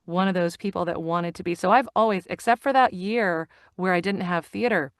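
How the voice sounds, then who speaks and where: A woman in her fifties speaks as a guest on a video call, animated and emphatic. She often repeats her phrases to stress them. Her voice is a little low, and the sound is close and dry. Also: fairly quick, no echo. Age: 50s. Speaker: guest, actor and audiobook narrator. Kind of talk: casual interview conversation.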